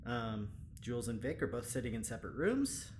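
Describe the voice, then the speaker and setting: A male actor plays a well-meaning young lab assistant in an audio drama. He is anxious and hesitant, tripping over words with very lively pitch. There is faint noise in the recording. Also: brisk, lightly acted. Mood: concerned, tentative. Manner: hesitant, stammering.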